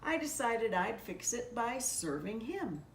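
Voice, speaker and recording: A woman pastor reading a children's story aloud in a measured, gently expressive storybook delivery. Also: a little high. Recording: echoey room, distant mic, faint noise.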